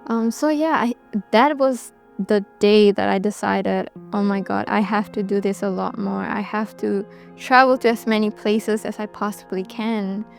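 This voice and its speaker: A woman's voice: mid-range voice, moderate pace, gravelly, soft background music. Reflective young woman. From Bangladesh, raised in Singapore. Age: 20s. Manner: relaxed, reflective, with frequent ums and restarts. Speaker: documentary subject. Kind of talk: interview recollection.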